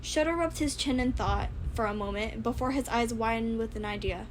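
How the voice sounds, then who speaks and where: A woman's voice: on the high side, brisk, slight background noise. A woman reading scripted story narration as a fan fiction narrator.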